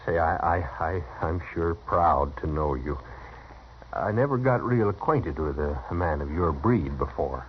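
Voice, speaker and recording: A low but swooping rural American cowboy, talkative and boastful. He stammers and fawns like an excitable hanger-on in an old radio Western. The recording is dull. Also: unhurried, a little hiss or hum. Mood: fawning. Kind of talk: 1950s Western radio drama acting.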